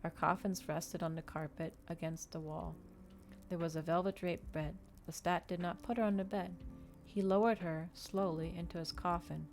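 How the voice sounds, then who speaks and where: A woman's voice: fairly low pitch, fairly even intonation, moderate pace, darkish tone, soft background music. A woman narrating a novel aloud as a scripted ASMR reading. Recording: noticeable background noise.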